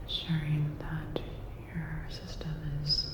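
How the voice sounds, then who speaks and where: A woman playing a calm, attentive part-cyborg robot repair technician in an ASMR roleplay, whispering breathily in a soft, reassuring, clinical way. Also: low voice, slow pace, noisy background. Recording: dull, echoey, long reverb.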